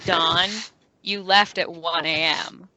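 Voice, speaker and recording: A woman's voice: lowish voice, fairly even intonation, takes it slowly, lo-fi sound, a crowd in the background. A woman playing a guarded, wistful lover with a dry, reflective way of talking at a table read. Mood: wry, skeptical. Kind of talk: script table read, drama.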